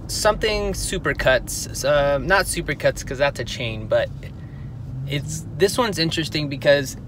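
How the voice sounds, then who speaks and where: A man's voice: a little high, lively intonation, a little slow, noisy background. An older man vlogging outdoors as he walks a city street, talking in a chatty, musing way and often breaking off to rethink his words. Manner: chatty, musing, self-interrupting. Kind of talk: casual walking vlog.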